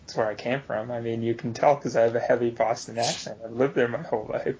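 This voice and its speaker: A man playing an evasive young man who feigns innocence, answering in a dry, deadpan way on a dull mic. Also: mid-range voice, fast, slight background noise, lightly acted.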